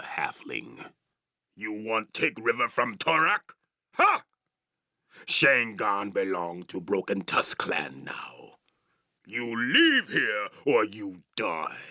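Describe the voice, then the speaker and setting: Slow, gravelly, brutish orc chieftain. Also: male voice, fairly low pitch, bright, forceful tone, dry recording, clean studio sound, exaggerated voice. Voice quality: gravelly, growling. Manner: broken English, threatening, barking. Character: brutish, boastful male orc chieftain, violent and menacing. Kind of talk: fantasy video game character acting.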